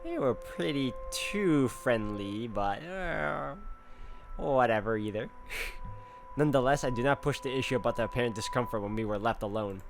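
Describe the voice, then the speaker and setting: A man's voice: mid-range voice, expressive, moderate pace, creaky, over music. A man hosting a game walkthrough, reading story text aloud and adding casual comments. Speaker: gaming video host.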